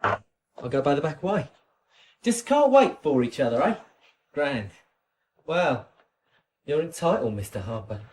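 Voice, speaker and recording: A man's voice: on the high side, sing-song, a little slow, long pauses, a little mellow, slight background noise. A man playing a cocky small-time drug dealer in a radio crime drama, chummy and ingratiating with a salesman's patter. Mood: cheeky.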